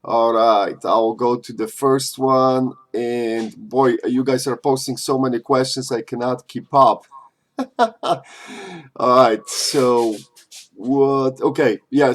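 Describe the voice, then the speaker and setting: A man's voice: medium pitch, fairly even intonation, measured pace. A man from Poland, hosting a casual live-stream Q&A and answering chat questions in a loose, chatty way full of fillers. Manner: loose and chatty, frequent "uh" and "you know", slightly non-native phrasing.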